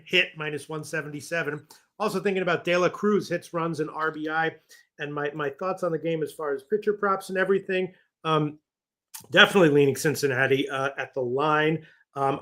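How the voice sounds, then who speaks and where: A man with a bright, slightly high voice lays out his prop bets. He talks casually with filler words as he builds on the others' picks. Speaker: betting analyst. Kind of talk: sports betting radio talk.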